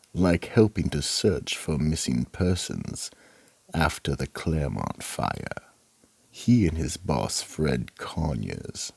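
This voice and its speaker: Man as a deep gravelly horror radio host. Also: a little mellow, no echo, full character voice. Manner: slow, dramatic, ominous. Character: ominous, theatrical male keeper of a mystery vault. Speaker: host and narrator of a horror audio drama. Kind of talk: scripted narration in old-time radio mystery style.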